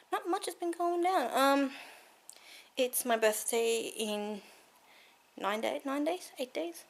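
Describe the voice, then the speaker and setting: A woman's voice: high voice, a little hiss or hum. A woman in her thirties chatting to a handheld camera at home in a rambling, giggly way. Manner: rambling and giggly, many fillers. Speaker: vlogger. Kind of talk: casual chat to camera. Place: cold room at home. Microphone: handheld camera carried around the room.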